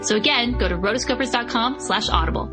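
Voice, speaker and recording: Woman, somewhat high voice, podcast co-host, emphatic sponsor pitch, enthusiastic, prominent music bed. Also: fast. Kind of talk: podcast sponsor read and casual banter.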